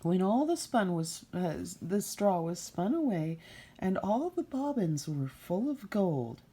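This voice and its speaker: A woman reading a fairy tale aloud as a storyteller, in an unhurried, expressive storytime manner. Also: medium pitch, slightly rough.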